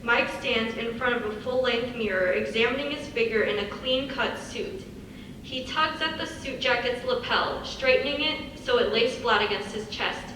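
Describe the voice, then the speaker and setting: A woman's voice: fairly high pitch, normal speed, bright, forceful tone. A woman reading stage directions as scripted narration. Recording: echoey hall, far from mic, faint noise.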